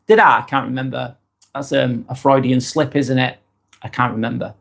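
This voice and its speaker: A man's voice: medium pitch, fairly even intonation, normal speed, gravelly, a bit bright. Lancashire man livestreaming, rambling and chatty, mid-range voice, lots of ums and restarts. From East Lancashire, England. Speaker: livestream vlogger. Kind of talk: casual livestream chat, reading out viewer comments.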